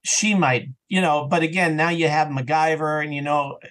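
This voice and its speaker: A man talking casually as an interview guest about his creative work, telling things in an animated, self-interrupting way. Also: fairly high pitch, normal speed, rough recording. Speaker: audio drama writer and actor, interview guest.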